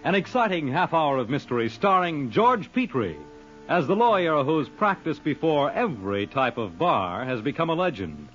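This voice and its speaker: A man's voice: a little high, big pitch swings, normal speed. A man announcing a radio show in a dramatic, declamatory 1940s style. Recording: dull old radio recording, loud music.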